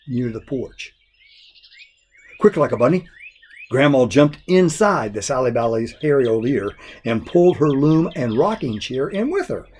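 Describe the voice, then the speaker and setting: Guy reading a kids folk tale, bit raspy, expressive and deliberate storyteller. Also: medium pitch. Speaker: story reader.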